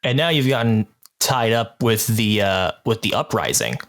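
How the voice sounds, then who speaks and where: A man's voice: on the low side, big pitch swings, normal speed, fairly bright tone. A man hosting a podcast in a casual, chatty style as he interviews a cast. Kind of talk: casual chat.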